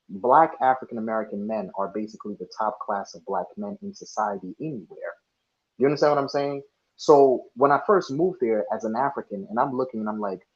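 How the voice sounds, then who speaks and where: A young man born in Ghana and raised in the States, talking earnestly as a guest on an online panel, often restarting his sentences. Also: mid-range voice. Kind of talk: casual online panel discussion. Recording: dull lo-fi audio.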